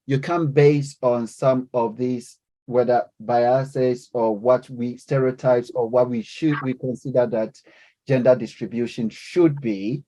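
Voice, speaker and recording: A man's voice: medium pitch, dark tone, rough recording. A man lecturing and leading a class discussion, hesitant, with many fillers and restarts.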